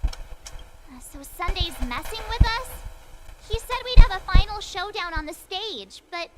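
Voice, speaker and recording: A woman voicing a perky, jumpy teenage girl adventurer in a video game, with an expressive, girlish delivery. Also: very high voice, unhurried, very noisy. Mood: uneasy. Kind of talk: animated video game acting. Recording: loud music behind the voice.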